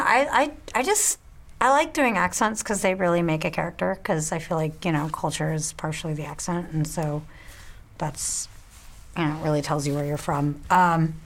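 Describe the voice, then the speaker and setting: A woman chatting casually as a tabletop game player, rambling with restarts and fillers. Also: on the low side.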